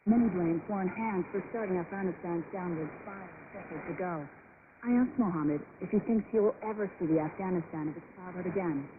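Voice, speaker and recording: A woman's voice: lowish voice, a little fast, narrow, tinny audio. A woman reporter reading scripted documentary narration for a radio news programme, heard over shortwave. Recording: noisy band-limited shortwave radio broadcast. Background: heavy shortwave static.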